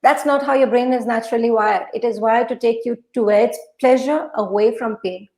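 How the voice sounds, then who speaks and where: Female motivational coach, native Urdu speaker, slightly high voice, emphatic and instructive, recorded in an echoey room. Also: fairly even intonation, normal speed, darkish tone. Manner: emphatic, instructive, repeats phrases. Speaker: podcast guest, mindset coach. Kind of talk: conversational motivational advice.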